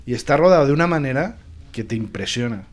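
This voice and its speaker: A man's voice: medium pitch, lively intonation, fast talker, a crowd in the background. A man with an accent from Spain talking casually and emphatically as a panelist in a film discussion, full of firm opinions.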